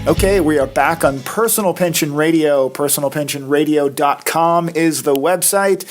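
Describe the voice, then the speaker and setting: A middle-aged man from Maine hosting a solo podcast, his voice raspy from a cold, talking in a folksy, conversational way with plenty of filler. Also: fairly high pitch, normal speed, soft background music. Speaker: podcast host, financial planner. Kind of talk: casual solo podcast talk.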